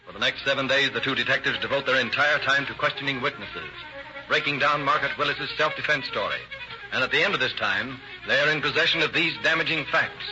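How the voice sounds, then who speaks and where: An actor playing a grave narrator of a crime case, reading formally and dramatically in vintage radio style. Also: male voice, mid-range voice, fast, close to an everyday voice. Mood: grave, dramatic. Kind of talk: scripted narration, 1940s radio. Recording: muffled old radio recording. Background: loud dramatic music.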